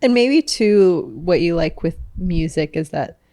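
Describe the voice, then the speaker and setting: A woman hosting a relaxed interview podcast reads out numbered questions and fills her guest's pauses with soft murmurs of agreement. Her voice is slightly low for a woman and recorded dry and close, with no room around it. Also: no echo. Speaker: podcast host. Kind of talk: casual interview chat.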